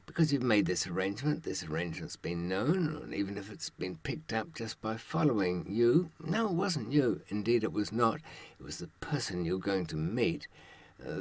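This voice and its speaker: An older man with a low voice talks directly to his audience as an adviser. His delivery is conversational and wry, dotted with sardonic rhetorical asides. The sound is close and dry, with very little room on it. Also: no room sound. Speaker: true-crime advice presenter and author.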